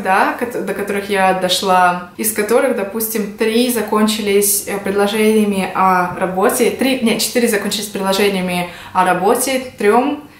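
Female vlogger, 20s, from Russia. Conversational to-camera explainer at mid pitch, with audible room echo. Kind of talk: casual explanatory vlog to camera. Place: small indoor room at home. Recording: echoey home room.

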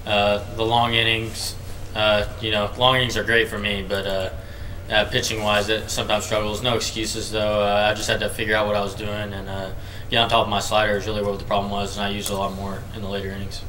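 A man in his early twenties, a college pitcher answering postgame questions in hesitant, self-critical phrases. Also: level tone, a little fast, bright, forceful tone, recorded from a distance, slight background noise, poor audio. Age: early 20s. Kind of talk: postgame press conference answers. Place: indoor press conference table. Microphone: table microphone.

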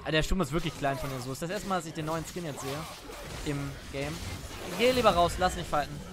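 High-voiced man streaming a game. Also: a little fast, music in the background. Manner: chatty, asks questions while calling plays. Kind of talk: casual gaming chat. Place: home room at a desk. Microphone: headset.

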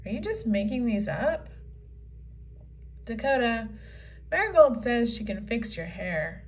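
A woman's voice: fairly high pitch, a little slow. A woman voicing an imaginative, quirky nine-year-old girl in a children's comic read-aloud. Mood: earnest. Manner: earnest, excitable kid delivery.

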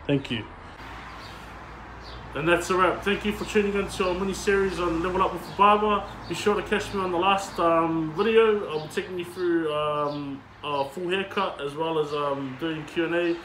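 A young male barber talks a viewer through a haircut step by step, relaxed and unpolished, with plenty of ums. His voice sits a little high for a man. Faint noise and the soft buzz of clippers hang in the background. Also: moderate pace, rough recording. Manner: relaxed, plain instructions with frequent ums. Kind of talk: hands-on haircut tutorial.

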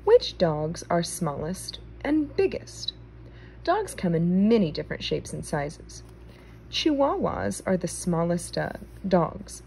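Woman, children's read-aloud, slightly low pitch, very varied intonation, clear phrasing for kids, homemade phone recording. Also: a little mellow, slight background noise. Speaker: reader of a children's book.

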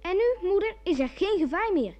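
A pert, inventive little girl in an old radio comedy. The voice is very high and childlike. The recording is dull and aged. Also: expressive, moderate pace, faint music behind. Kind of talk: mid-century Dutch radio comedy acting.

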